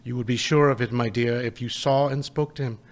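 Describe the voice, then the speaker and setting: An actor playing a gentle, poor father who speaks tenderly to his family about his lost little son in a live radio play. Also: mid-range voice, steady tone, a little fast, lightly acted. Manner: tender, soft, choked-up.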